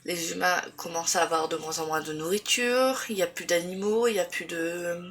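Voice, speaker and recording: Woman, stuffy cold, sore throat, casual book chat, halting with fillers, faint background hiss. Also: on the low side, fairly even intonation, a bit bright. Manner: rambling with many fillers and restarts. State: congested with a cold. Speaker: booktuber. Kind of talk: casual book review vlog.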